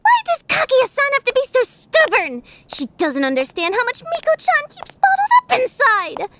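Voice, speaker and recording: A woman playing an excitable, dramatic teenage student secretary in an anime fandub radio play. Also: extremely high pitch, moderate pace, full character voice. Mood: exasperated.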